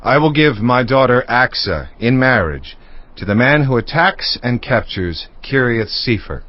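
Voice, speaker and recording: A man's voice: medium pitch, animated, a little slow, natural voice. A man playing an Israelite clan leader and father in a scripture audio drama, with a declamatory delivery. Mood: proclaiming. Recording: dull lo-fi sound.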